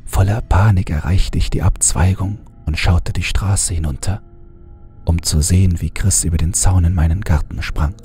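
A man reading a horror story aloud in a calm, measured first-person narration. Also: deep voice, soft background music.

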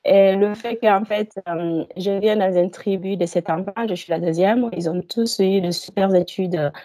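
A woman's voice: lowish voice, dark tone, no echo. Hesitant woman on a video call. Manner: hesitant, many fillers and restarts. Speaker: hypnosis client. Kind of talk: telling her personal story.

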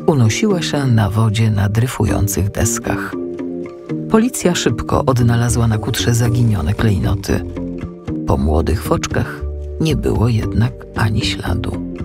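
A man narrating a children's audiobook in an expressive, dramatic storytelling manner. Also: mid-range voice.

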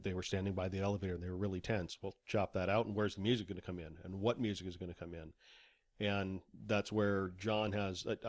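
A man's voice: lowish voice, darkish tone, no room sound. A man speaking casually as an author being interviewed on a podcast.

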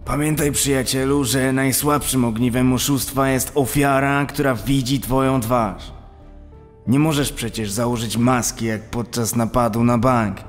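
Man acting a slow-talking seasoned convict. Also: medium pitch, fairly even intonation, close to an everyday voice. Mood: calm, cautioning. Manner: slow, deliberate, advising. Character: seasoned male convict swindler, coaching a younger accomplice. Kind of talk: true-crime podcast dramatization. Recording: long reverb, music underneath.

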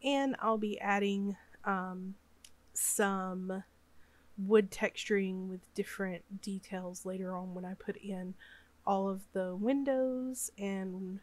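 A woman's voice: mid-range voice, steady tone, slow. A woman with a Southern US accent, sick and sniffly, chatting casually over her game build with lots of ums and uhs. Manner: rambling, chatty, many fillers.

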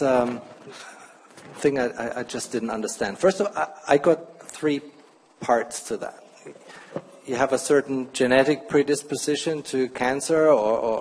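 A man in the audience asking a question at a conference, halting and hesitant, with many restarts. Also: medium pitch, a little mellow, slight background noise. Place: large conference hall. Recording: hall reverb.

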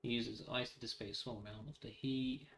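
A man's voice: mid-range voice, brisk, creaky, fairly bright tone, a little hiss or hum. A man giving casual gameplay commentary, thinking aloud in a rambling way and reading game text out. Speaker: gaming video host. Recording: roomy echo, faint music behind.

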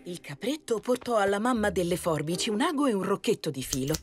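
A woman storyteller giving an expressive, scripted narration of a children's fairy tale. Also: mid-range voice, fast.

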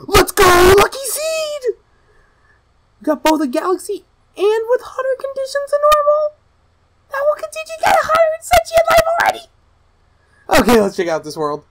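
A woman playing an excitable, mischievous gamer girl streamer who gleefully torments people in a livestream roleplay. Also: extremely high pitch, moderate pace, long pauses, background noise, heavily acted. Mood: excited, gleeful.

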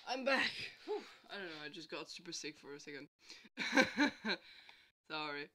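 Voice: medium pitch, very animated, unhurried, sharp tone. A vlogger sick with a bad cold, chatting casually at home in a rambling way and laughing often. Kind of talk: casual late-night vlog chat. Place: indoors at home.

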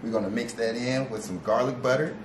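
A man's voice: mid-range voice, a little fast. Young man chef walking through a recipe. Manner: steady, instructive, with fillers like "you know". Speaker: guest chef. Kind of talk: casual cooking demonstration. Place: large home kitchen. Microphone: far camera. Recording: echoey kitchen, noisy.

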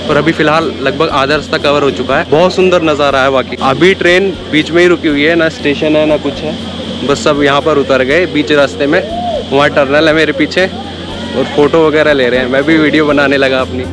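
A man's voice: on the high side, steady tone, brisk, rough recording. A man vlogging casually from a train journey, chatting to viewers and mixing English words into his Hindi. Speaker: travel vlogger. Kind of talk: casual travel vlog narration. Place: on a train and at stops along the way. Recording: loud background music. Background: music.

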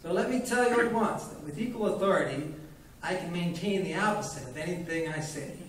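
A grandiloquent old male quack, put on by a man reading aloud, holds forth with lofty, pompous dignity. The voice sits a little high and rings out through a very echoey room. It is heard from a distance with faint noise underneath. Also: brisk, close to an everyday voice. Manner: haughty, grandiloquent.

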